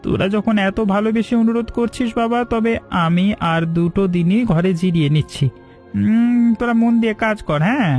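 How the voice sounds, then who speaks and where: A man acting as an older village farmhand for a cartoon, with a distinctly high voice. He is devoted and stubborn, speaking to the young like a father. Faint music plays underneath. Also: a little fast, a little mellow. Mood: gently insistent. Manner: fatherly, addresses younger men as sons.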